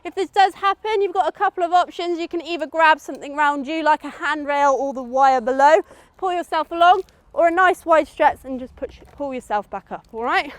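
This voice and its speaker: A woman's voice: high-pitched, a little fast, dry recording. A woman with a British accent giving an informal, instructive safety briefing to a group, often checking they follow. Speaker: outdoor adventure course safety instructor.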